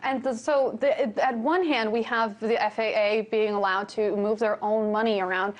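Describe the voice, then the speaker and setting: A woman's voice: on the high side, fairly even intonation, normal speed. A woman anchoring a television news discussion, putting questions to guests in a conversational broadcast style.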